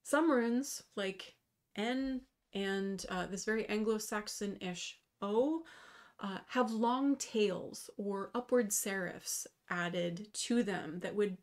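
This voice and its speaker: A woman in her thirties giving a scripted lecture over slides, reading carefully from a small room at home. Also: mid-range voice. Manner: measured, careful reading with slow emphasis on terms. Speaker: runology lecturer. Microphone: webcam video in the corner.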